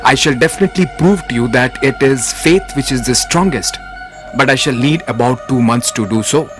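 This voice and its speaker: A man acting a wise, steadfast royal minister in a respectful, earnest manner for an animated moral tale. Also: medium pitch, moderate pace, music in the background, close to an everyday voice. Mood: apologetic.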